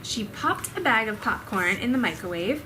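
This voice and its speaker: Slightly high-voiced woman reading a storybook. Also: animated, moderate pace, pushed and bright, a little hiss or hum, rough recording. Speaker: storyteller reading to her child. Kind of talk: expressive children's storybook reading.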